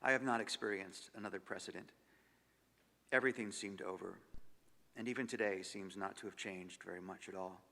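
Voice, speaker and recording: A man giving a measured, expressive stage reading of diary entries, sinking to near-whispers at the ends of phrases. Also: deep voice, creaky. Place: large concert hall. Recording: long hall reverb.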